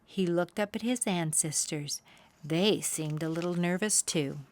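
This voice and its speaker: Unhurried, low-pitched woman storyteller. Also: darkish tone. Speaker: children's story reader, channel host. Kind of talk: expressive scripted storybook narration.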